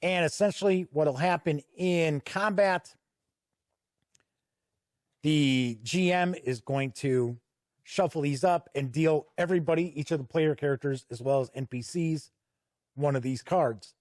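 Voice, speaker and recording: Slow, deliberate man reviewing a product. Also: on the high side, darkish tone, dry recording, studio quality. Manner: measured, explanatory, with deliberate pauses. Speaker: host and reviewer. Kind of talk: unscripted product review walk-through.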